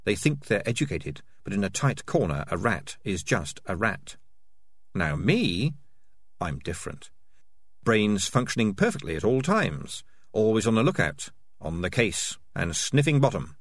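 A man's voice: sing-song, measured pace, heavily acted. A man reading aloud, voicing the smug inner thoughts of a self-satisfied male talking cat. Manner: smug, low and muttering.

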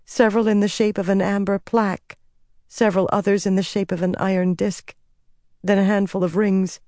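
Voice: mid-range voice, flat delivery, moderate pace, a little mellow, no echo, clean studio sound, pitched above the performer's own voice, lightly acted. An audiobook narrator voicing an anxious, servile woman, meek and hesitant as she tries to placate her master. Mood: hesitant, compliant. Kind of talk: audiobook character voice.

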